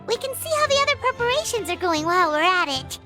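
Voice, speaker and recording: Very high, childlike fairy companion. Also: female voice, very animated, quick pace. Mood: concerned. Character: tiny, high-voiced, chirpy curious fairy-like companion. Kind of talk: anime-style game voice acting. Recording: music behind the voice. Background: background music.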